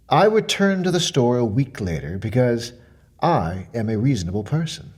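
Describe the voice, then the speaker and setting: An actor playing a fussy, self-important mystery shopper with quiet menace, delivering a precise, deliberate monologue in the form of a report. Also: male voice, medium pitch, breathy edge. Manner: pompous, precise, deliberate, dwelling on words. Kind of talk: dramatic monologue as a spoken questionnaire report.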